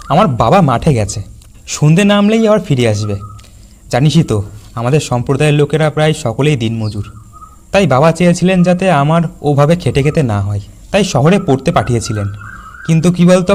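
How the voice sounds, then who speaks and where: A man playing a worried, cautious young village schoolteacher in an animated horror story. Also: a little high, slight background noise, close to an everyday voice. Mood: friendly, chatty. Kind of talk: acted cartoon dialogue.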